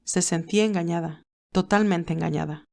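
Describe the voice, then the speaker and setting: A woman's voice: lowish voice, normal speed, vocal fry, darkish tone. A woman reading scripted story narration as an audiobook narrator.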